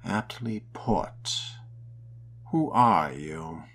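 A wry young vampire voiced by a man roleplaying a game, deep in pitch and slow in pace. He muses aloud with dry, theatrical curiosity. Music plays clearly behind him. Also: lively intonation, a bit bright, heavily acted. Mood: questioning. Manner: musing aloud, dry, theatrical, deliberate phrasing. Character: wry, curious male vampire fledgling on the hunt. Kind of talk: in-character video game roleplay narration.

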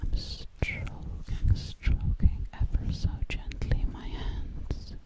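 Woman as a slow, whispering, sinister witch. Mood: playful, boastful. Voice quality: breathy whisper. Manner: slow, lingering, repeats words hypnotically. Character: sinister, vain female witch posing as ASMR artist. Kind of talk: ASMR roleplay, close whispering. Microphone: close microphone.

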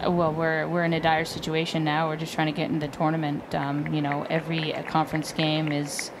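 Blunt low-voiced woman coach. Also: completely flat delivery, fairly quick, noisy background. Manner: blunt, frank, repeats herself. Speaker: head coach. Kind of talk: postgame interview.

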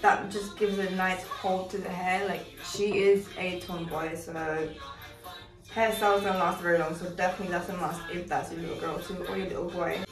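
A woman's voice: fairly low pitch, level tone, moderate pace. A young woman explains a toddler hairstyle in a chatty, instructive way, often correcting herself mid-sentence. She is recorded from a distant camera in her living room. The audio sounds roomy, with music playing underneath. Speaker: mother doing her toddler's hair. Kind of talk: casual hair tutorial. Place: living room with Christmas decor. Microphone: far camera.

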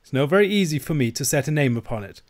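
A bold Highland man with a Scottish accent, put on by a male narrator for an audiobook. He bargains in a blunt, forceful way. His delivery is sly and taunting, with a sneer in it. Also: expressive, fast talker, natural voice. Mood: sly bargaining. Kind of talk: audiobook character voice.

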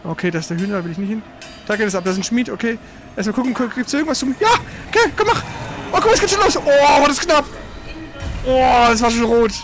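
A man giving casual, slangy let's play commentary while he plays, reacting out loud to the game. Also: very high voice, expressive, quick pace, loud music behind the voice. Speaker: let's player.

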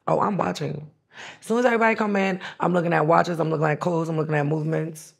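A woman's voice: low voice, quick pace, vocal fry, breathy edge. A woman in her thirties from New York, talking frankly and animatedly as an interview guest. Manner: frank, animated, voices others in quotes. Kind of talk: candid interview storytelling. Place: studio set, indoors.

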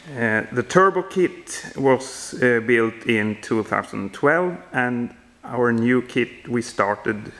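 A man in his thirties with a Swedish accent, giving an informal walkaround explanation in halting, non-native English as he searches for words. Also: medium pitch, fairly bright tone. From Sweden. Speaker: home builder presenting his project. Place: indoor workshop garage.

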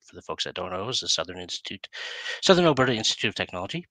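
A man's voice: low-pitched, big pitch swings, very fast, sharp tone, dry recording. A middle-aged man chatting casually as an interview host in a rambling, self-interrupting way. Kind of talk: casual chat. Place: indoor room, video call. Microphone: headset.

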